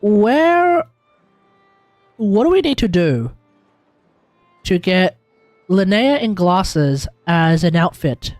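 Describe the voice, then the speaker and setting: A man with an Australian accent giving casual, excitable, joking live commentary on a game, repeating himself for emphasis. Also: high-pitched, slow, pauses often, dry recording, soft background music. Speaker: streamer.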